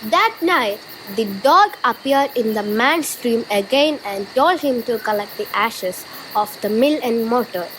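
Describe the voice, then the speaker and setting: A woman narrates a storybook tale at a very slow, deliberate pace. Her voice sits fairly high. The amateur recording carries some faint noise. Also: expressive, a bit bright, low-quality recording. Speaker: narrator of a student puppet show.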